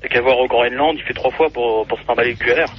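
Fast-talking man cracking crude jokes. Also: mid-range voice, fairly even intonation, muffled recording. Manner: crude jokes, gropes for words. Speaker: debate panelist. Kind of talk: casual radio chat.

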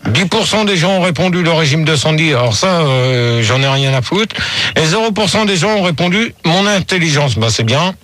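Bright high-voiced mock-serious male host. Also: expressive, normal speed. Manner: mock-serious, crude, reads out silly poll results. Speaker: radio host. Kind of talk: comic radio show hosting.

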